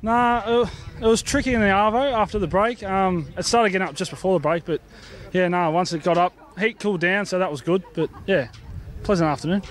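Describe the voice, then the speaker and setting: An Australian man with a high voice for a male answers as a lawn bowls player. His style is casual and understated, full of colloquial turns. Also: brisk. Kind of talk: casual sports interview.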